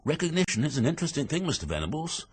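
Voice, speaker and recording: An actor playing an unflappable police inspector in a British radio drama, with an English accent and a measured, authoritative manner. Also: male voice, a little high, big pitch swings, breathy edge. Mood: explanatory. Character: methodical, unflappable male police inspector, quietly relentless.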